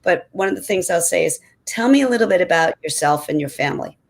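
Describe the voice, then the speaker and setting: A woman in her sixties, a doctor on a panel, talking in an energetic, emphatic way. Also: lowish voice, quick pace. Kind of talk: panel discussion answer.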